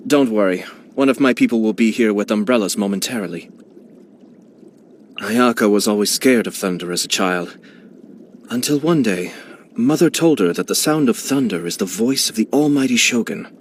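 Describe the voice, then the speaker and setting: An actor voicing a genteel, scheming young male clan head in a video game, speaking in a smooth, measured and courteous way with quiet amusement. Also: lowish voice, animated, a little hiss or hum, close to an everyday voice. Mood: composed. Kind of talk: video game character voice lines.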